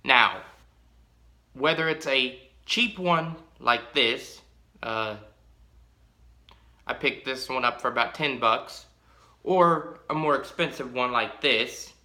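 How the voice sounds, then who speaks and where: A young man talks slowly and loosely to a fixed camera in a small home room, trailing off into ums and restarts. His voice is bright and forward. The room gives it a noticeable echo. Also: medium pitch. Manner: rambling, drawn-out, many ums. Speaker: pipe-collecting vlogger. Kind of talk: casual show-and-tell.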